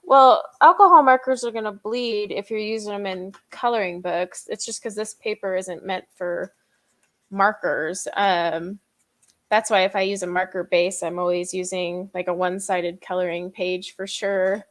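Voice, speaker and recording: A woman's voice: mid-range voice, fairly even intonation, normal speed, a bit bright. A woman hosting a live coloring chat stream, talking casually with lots of fillers and frequent laughter. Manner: chatty, laughs often, many fillers.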